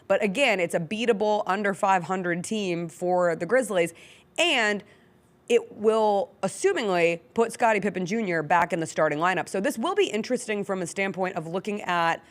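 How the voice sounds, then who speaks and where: A woman with an American accent hosts a sports talk show from a studio. Her pitch sits in the middle range for a woman. She talks casually and conversationally about games and players. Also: moderate pace. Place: radio-style studio.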